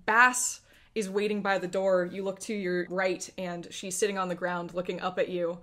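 A woman's voice: lowish voice, flat delivery, normal speed, fairly bright tone. A woman narrating a tabletop fantasy game as its game master. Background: background music.

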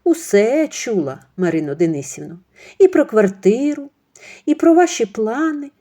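A woman voicing an angry young wife who speaks firmly and in measured, clipped phrases. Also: fairly high pitch, big pitch swings, warm tone, voice pushed up. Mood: cold.

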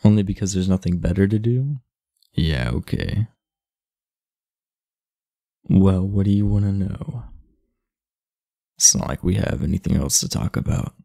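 A man acts out a sleepy, irritable delinquent schoolboy in an intimate ASMR roleplay. His deep voice is husky and slow, yet it moves around a lot in pitch. He talks straight to the listener, blunt, sardonic and guarded. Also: lively intonation, dark tone, no echo, clean studio sound, full character voice. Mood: dryly teasing. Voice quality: gravelly, low. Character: aloof, irritable, sleepy teenage boy, class delinquent.

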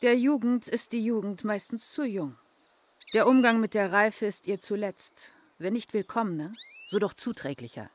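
A woman's voice: mid-range voice, normal speed, slight background noise, close to an everyday voice. An actress playing a proud, gracious mature mother in a radio drama, formal, composed and authoritative. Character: proud, gracious mature mother, stern then charming hostess. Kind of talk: German radio drama acting.

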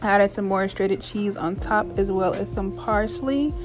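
A woman's voice: mid-range voice, a little slow, music in the background. A young woman in her twenties chatting casually as she walks through a home cooking recipe, with lots of fillers. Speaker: cooking vlogger. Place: home kitchen, then a small bright bedroom.

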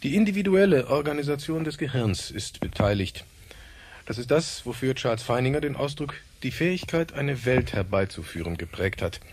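Man as a measured scientist over background noise. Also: mid-range voice, lightly acted. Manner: measured, formal explaining. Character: measured scientist leading a time experiment. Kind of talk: German radio drama acting.

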